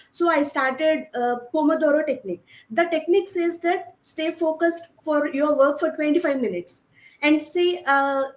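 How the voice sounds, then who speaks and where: Young female panel speaker, high-pitched voice, echoey room; explains her own routine informally. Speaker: online business owner on a panel. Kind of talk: personal account in a group chat.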